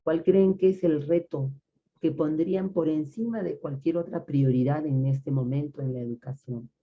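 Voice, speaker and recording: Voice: mid-range voice, fairly even intonation, normal speed, a little hiss or hum. An interviewer asking questions in a chatty, hesitant way with many fillers. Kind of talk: conversational interview. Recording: muffled lo-fi recording.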